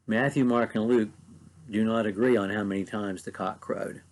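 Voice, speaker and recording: A man's voice: lowish voice, steady tone, normal speed, rough recording. A man with an Ohio Valley accent giving a short devotional Bible teaching in an earnest, conversational way, with frequent ums. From mid-Ohio Valley, USA. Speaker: preacher.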